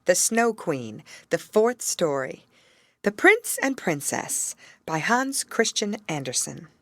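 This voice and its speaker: Animated low-pitched woman reading a fairy tale. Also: slow pace, no echo, studio quality. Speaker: audiobook reader. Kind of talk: expressive scripted fairy tale narration.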